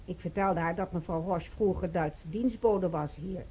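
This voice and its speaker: A woman's voice: on the low side, moderate pace, mellow, husky, low-quality recording. An actress playing a gossipy, disapproving woman patient in a radio play, opinionated and matter-of-fact in her delivery. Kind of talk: naturalistic radio drama dialogue.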